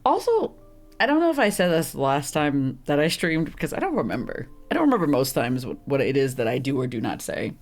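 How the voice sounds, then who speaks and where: A woman with a deep, rough, slightly hoarse and congested voice, rambling through casual gameplay commentary and laughing at herself often. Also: sing-song, a little fast, soft background music. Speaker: streamer playing a visual novel.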